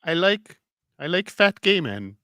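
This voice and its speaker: A man's voice: fairly high pitch, very animated, a little slow, sharp tone, clean tone, no room sound, studio quality, heavily acted. A man putting on a mock-posh British accent, playing a crude prankster on a call-in show.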